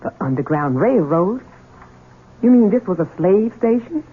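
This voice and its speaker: A muffled old radio drama carries an anxious servant woman. Her voice is husky, and she speaks as one both dutiful and troubled. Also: mid-range voice, big pitch swings, a little slow. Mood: shocked, protesting. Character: anxious, protesting Southern household servant named Hannah.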